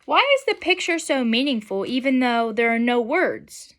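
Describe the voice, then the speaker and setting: A woman's voice: fairly high pitch, animated, moderate pace. A young adult woman reading a picture book aloud expressively and putting questions to children in an instructional way. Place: small furnished room at home, indoors.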